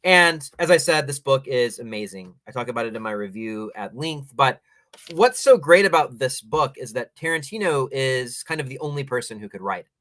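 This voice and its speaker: A man's voice: medium pitch, animated, normal speed, fairly bright tone. A man hosting a talk show, giving enthusiastic, opinionated and discursive commentary in a conversational style. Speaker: film teacher and critic.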